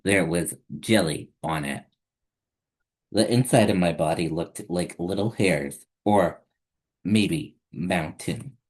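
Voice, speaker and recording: A man reads a picture book aloud as a storytime host for children. His voice sits low, and he takes the narration slowly, phrase by deliberate phrase. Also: darkish tone, breathy edge.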